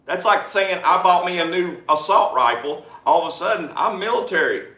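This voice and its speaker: A man co-hosting a heated casual debate, loud and mocking, with a drawl. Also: high voice, normal speed, roomy sound.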